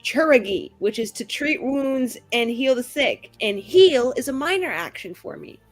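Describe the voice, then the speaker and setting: Brief: woman tabletop player, slightly high voice, slow unhurried delivery, playful. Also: lively intonation, no echo, faint music behind. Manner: playful, hesitant while checking rules. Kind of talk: casual tabletop play.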